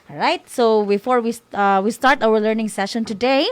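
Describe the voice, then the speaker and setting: A woman's voice: fairly high pitch, a little fast, smooth. A woman with a Filipino accent co-hosting a school program in a casual, encouraging way. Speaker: co-host of a school-on-the-air program.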